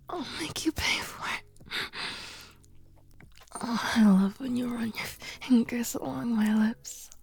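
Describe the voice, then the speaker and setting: A woman speaking in a soft, breathy whisper in an intimate audio roleplay, pleading and sleepy. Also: on the high side, slow pace, pauses often.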